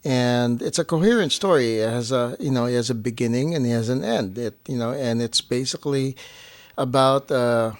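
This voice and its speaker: A man's voice: mid-range voice, normal speed, dry recording. A man chatting casually as a guest, telling anecdotes informally and acting out the quotes. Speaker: guest on partner's family show. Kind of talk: casual chat, anecdotal storytelling.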